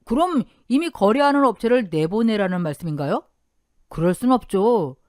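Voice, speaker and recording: A woman's voice: medium pitch, lively intonation, moderate pace, a little mellow, dry recording, studio quality, natural voice. A woman voicing a young married man who answers in a cold, pointed way.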